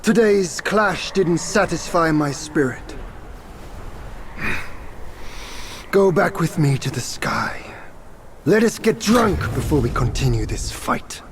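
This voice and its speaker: Weary warrior, brooding and slow, half-whispered lines over loud game ambience. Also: male voice, a little high, animated, noisy background. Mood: challenging. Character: weary warrior who hunts the monkey king. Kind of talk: game cutscene voice acting.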